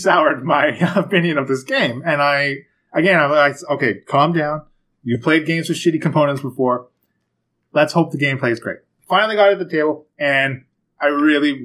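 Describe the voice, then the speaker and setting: A man's voice: medium pitch, a bit bright. A man co-hosting a casual podcast, rambling through stories with frequent swearing and laughter. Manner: rambling and profane, laughs often, self-mocking asides. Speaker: podcast co-host. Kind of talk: casual podcast chat, board game talk.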